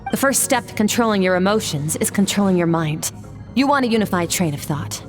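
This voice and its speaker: An actress playing a cool, stoic sword master in a video game, composed, blunt and measured as she trains a pupil. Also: medium pitch, lively intonation, soft background music, close to an everyday voice. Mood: focused, commanding.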